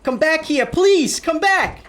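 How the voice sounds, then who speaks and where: A man's voice: very high-pitched, expressive, slow pace, fairly bright tone, heavily acted. Man acting haunted, intense driver in radio drama. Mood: desperate pleading. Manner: intense, stammering when agitated. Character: haunted, desperate young male driver, increasingly panicked. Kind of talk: radio drama acting with first-person narration. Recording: clearly noisy radio play recording.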